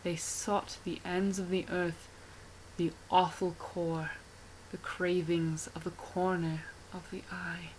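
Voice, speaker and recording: A woman playing a haunted, horrified witness who tells a gothic horror tale in a grave, breathy manner. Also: on the low side, flat delivery, slow, darkish tone, slight background noise, rough recording. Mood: hushed dread. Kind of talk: hushed gothic horror monologue.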